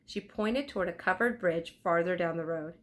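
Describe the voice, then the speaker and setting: A woman's voice: on the low side, moderate pace. A woman narrating a children's book aloud expressively, enunciating for young listeners. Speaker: storybook reader.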